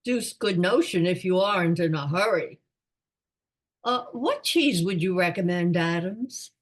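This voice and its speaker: A woman's voice: fairly low pitch, expressive, a little slow. A woman playing a doddering, absent-minded elderly lord in a radio comedy, with a pompous, rambling manner. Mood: musing, genial. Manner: pompous, rambling aristocratic musing. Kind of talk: radio play comedy acting.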